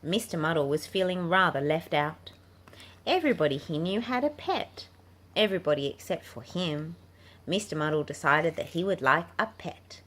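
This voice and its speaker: A woman's voice: low voice, animated, moderate pace, fairly bright tone. A woman reading a picture book aloud to children as a storybook narrator. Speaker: children's book reader and channel host. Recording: clearly noisy home recording.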